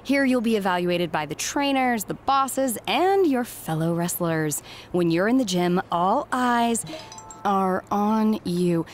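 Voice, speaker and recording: Brisk, harried middle-aged recruiter. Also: female voice, mid-range voice, lively intonation, slight background noise. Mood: brisk, businesslike. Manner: brisk, distracted, businesslike. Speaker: head recruiter. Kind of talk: naturalistic video game cutscene acting.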